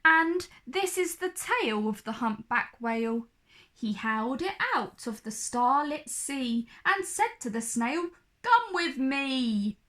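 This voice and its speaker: Slow, high-voiced woman storyteller. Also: animated, sharp tone. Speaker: children's story reader. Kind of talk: expressive rhyming storybook narration.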